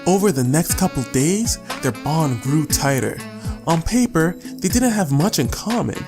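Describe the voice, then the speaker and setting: A man reading scripted audiobook narration as a storyteller, measured and with deliberate pauses. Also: fairly high pitch, lively intonation. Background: guitar music.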